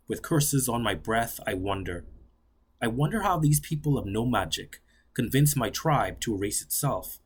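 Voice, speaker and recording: A young man from the Bahamas, reading his own poem aloud in a measured, solemn way that slows and sinks on the closing lines. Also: medium pitch, breathy edge. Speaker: graduate student poet. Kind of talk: spoken-word poetry reading.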